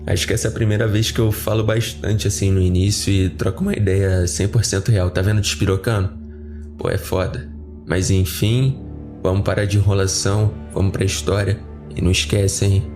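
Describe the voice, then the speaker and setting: A Brazilian man with a slightly off, flu-affected voice, chatting informally and in a rambling way to his listeners as a podcast host. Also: low-pitched. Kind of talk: casual chat to listeners.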